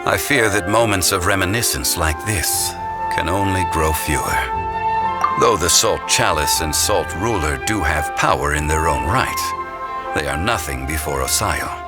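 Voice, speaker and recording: An ancient male deity living in human guise in a fantasy video game. His voice is deep, his phrasing formal and deliberate. He carries himself with calm dignity and quiet reflection, with music clearly playing behind him. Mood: wistful, calm. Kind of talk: scripted video game dialogue.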